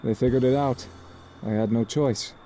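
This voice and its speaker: A man's voice: mid-range voice, steady tone, normal speed, mellow, slight background noise, lightly acted. A man voicing a proud, easygoing young uncle in an anime-style visual novel game. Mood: resigned. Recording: music clearly under the voice.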